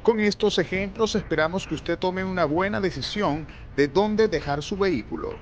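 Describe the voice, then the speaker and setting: A man narrates a news report in a measured, formal reading. His voice sits somewhat high. A faint hiss of background noise runs under the voice-over. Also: dull audio.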